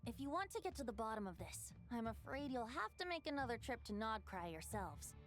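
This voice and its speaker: A courteous, knowing young woman from a video game, speaks in a fairly high voice. Her phrasing is articulate and lightly theatrical, with lively intonation over music. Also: brisk. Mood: wry, composed. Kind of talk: scripted video game voice acting.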